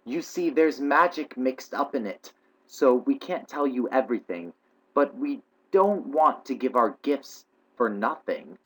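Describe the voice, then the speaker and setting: A brash, boastful English schoolboy who talks his way through everything. A man puts him on, lifting his voice high above his normal register, and he sounds set back from the microphone. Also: expressive, unhurried, a bit bright, low-quality recording. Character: bold, cheeky young English schoolboy. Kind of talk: audiobook character voice.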